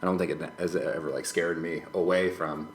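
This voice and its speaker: A man's voice: low-pitched, flat delivery, brisk, a little hiss or hum. A man as a podcast guest in casual personal conversation, speaking hesitantly and often correcting himself mid-phrase. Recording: roomy, echoing podcast recording.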